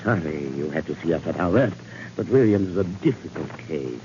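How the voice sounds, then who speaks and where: An actor playing a sinister doctor, formal and unctuous, in 1940s American radio drama style. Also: male voice, rumbling low, animated, a little fast. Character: smooth, sinister, courtly male sanitarium doctor. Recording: noisy muffled 1940s radio recording.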